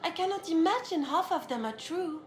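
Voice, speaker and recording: A woman's voice: extremely high pitch, animated, moderate pace, roomy sound. A woman playing a coy, gossipy noblewoman in a fantasy video game, with a genteel, coquettish manner. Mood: intrigued. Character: coy, gossipy female noble party guest.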